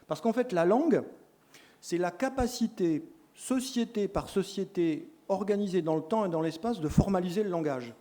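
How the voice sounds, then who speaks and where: A middle-aged man lecturing teacher tutors, explaining points with lively emphasis and quick self-corrections. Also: high-pitched. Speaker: lecturer in education sciences.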